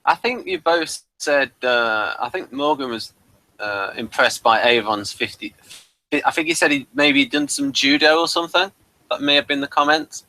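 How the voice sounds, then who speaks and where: A man chatting casually as a podcast reviewer, in a hesitant, halting way. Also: medium pitch, animated, bright, forceful tone, dry recording, rough recording.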